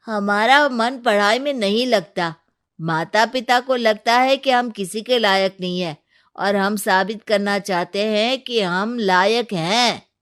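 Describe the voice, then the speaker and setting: A woman's voice: mid-range voice, slow pace, fairly bright tone, smooth. A woman voicing an eager, cocky young man in a cartoon moral story, earnest and argumentative.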